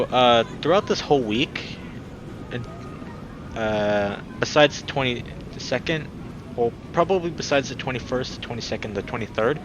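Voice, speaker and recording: Young man streaming, with noisy background. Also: mid-range voice. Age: young adult. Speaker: game streamer. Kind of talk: casual let's play, reading a visual novel aloud.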